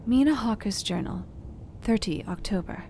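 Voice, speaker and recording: An actress playing an earnest young Victorian wife, reading her journal with precise, deliberate diction in a Gothic audio drama. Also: mid-range voice, expressive, creaky, dark tone, slight background noise. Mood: weary, grateful. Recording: music behind the voice. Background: instrumental music.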